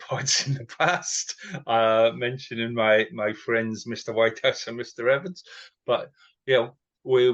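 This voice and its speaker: A man's voice: lowish voice, fairly bright tone, highs cut off. A man hosting a podcast in a casual, chatty way, joking at his own expense. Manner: chatty, self-mocking, laughs between points. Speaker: podcast host.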